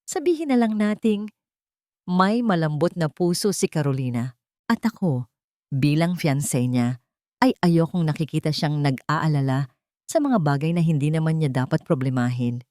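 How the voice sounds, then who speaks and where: A smug, condescending rich young man, read by a woman in her own low voice. His speech is cold and mocking, and his pitch rises and falls freely. The sound is close and dry. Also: lively intonation, normal speed, mellow, dry recording.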